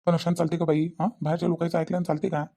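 A woman's voice: on the low side, flat delivery, very fast, dark tone. A woman voicing a worried, protective middle-aged village father in a comedy cartoon, with a Varhadi Marathi accent and insistent, repetitive questioning.

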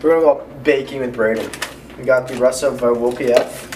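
A man's voice: mid-range voice, fairly even intonation, brisk, background noise. A young man filming a casual prank vlog on a handheld phone, joking and rambling with restarts. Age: young adult. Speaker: vlogger. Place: furniture store, then gym.

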